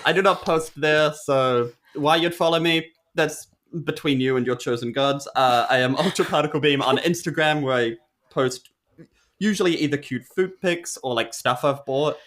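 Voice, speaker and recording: A man's voice: fairly high pitch, normal speed, a bit bright. Droll New man, joking casually. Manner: droll, playful, jokey. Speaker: podcast player and comedy performer.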